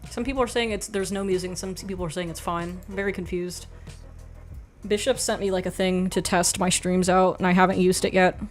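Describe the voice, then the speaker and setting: A young woman hosts a livestream in a soft, quiet voice. She rambles through chat with frank, offhand remarks and plenty of "like". Faint music plays behind her. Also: lowish voice, fairly even intonation, a little fast. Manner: rambling, frank, laughs often, says "like" a lot. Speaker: livestream host reading chat. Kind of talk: casual chat.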